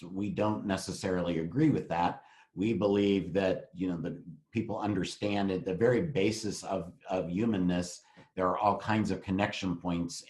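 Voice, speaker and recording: A man with a very low voice moderating an online panel. He asks questions thoughtfully but haltingly and restarts his sentences as he goes.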